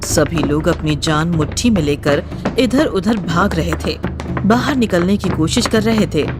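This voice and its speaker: A woman's voice: lowish voice, expressive, a little slow, music in the background. A woman giving dramatic scripted narration of a horror story.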